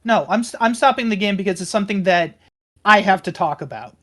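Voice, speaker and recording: A woman playing a tabletop game, talking casually and haltingly, repeating phrases for emphasis. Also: medium pitch, expressive, a bit bright.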